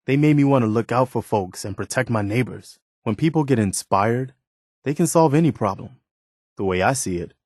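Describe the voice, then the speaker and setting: Game companion role, male actor: loyal militia man of principle, plain-spoken and earnest, lowish pitch, very dry studio sound. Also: a little mellow, no room sound, close to an everyday voice. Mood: idealistic. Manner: sincere, plain-spoken, measured. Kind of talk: video game companion dialogue.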